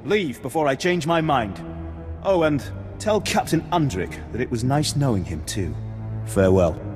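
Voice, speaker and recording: An actor playing a haughty, short-tempered Imperial officer in a video game, with an imperious, formal way of talking. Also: male voice, medium pitch, sing-song, moderate pace, close to an everyday voice. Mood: grudging, spiteful. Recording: long hall reverb, music behind.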